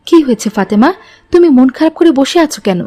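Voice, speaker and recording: A woman's voice: sits high, expressive, fast talker, mellow. A woman voicing a concerned, reproving mother in a moral cartoon story.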